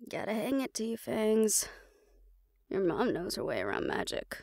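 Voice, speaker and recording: A tough, wry huntress acted out by a woman in audio roleplay. She is defiant and casual, with an animated, creaky voice in a very dry recording. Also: mid-range voice, moderate pace, no echo. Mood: amused. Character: stubborn, sardonic, tough female supernatural hunter.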